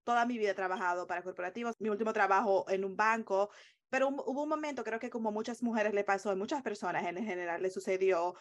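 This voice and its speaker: A woman's voice: mid-range voice, fast talker. A woman with a Dominican accent speaking as a coach and interview guest, explaining things in an earnest, emphatic, conversational way. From Dominican Republic.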